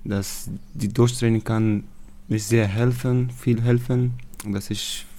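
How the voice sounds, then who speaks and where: A man from Afghanistan, not a German speaker, answering interview questions in halting learner's German with many pauses and fillers. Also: mid-range voice, flat delivery, dark tone. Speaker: course participant.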